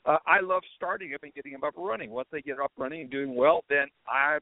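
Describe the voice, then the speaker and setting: A man's voice: fairly high pitch, fast talker, dry recording. A middle-aged man hosting a podcast, telling stories in a rambling, conversational way. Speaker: podcast host. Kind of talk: casual monologue. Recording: tinny phone-like dry audio.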